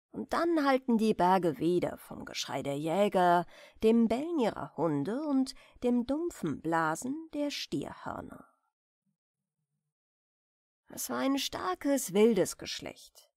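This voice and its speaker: A woman reading a bedtime story aloud in a soft, gentle, measured storytelling manner. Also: mid-range voice, no echo, clean studio sound. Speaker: audiobook reader of bedtime stories.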